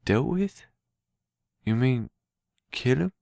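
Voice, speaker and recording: A man playing a simple-minded, earnest young man in an amateur audio drama, eager and anxious, with halting, childlike phrasing. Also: mid-range voice, expressive, creaky, darkish tone, no room sound, studio quality. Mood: curious, uneasy.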